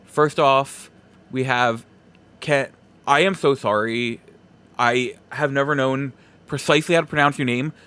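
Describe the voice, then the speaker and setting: A man hosting a solo podcast, giving casual commentary in a halting, rambling way and repeating himself for emphasis. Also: mid-range voice, fairly even intonation, fairly bright tone, smooth. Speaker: podcast host.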